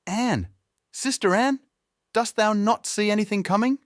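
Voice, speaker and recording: A man's voice: high voice, a little slow, dry recording, studio quality, voice pushed up. A man voicing a frightened young wife in a fairy tale, pleading anxiously in formal, archaic words. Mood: urgent, desperate. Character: distressed, pleading young wife in mortal fear. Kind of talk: storybook character voice in narrated fairy tale.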